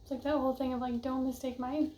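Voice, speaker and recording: A young woman with a soft voice giving a casual oracle card reading, musing and drifting off mid-thought. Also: high-pitched, flat delivery, fast, slight background noise. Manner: dreamy, musing, often trails off. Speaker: card reader.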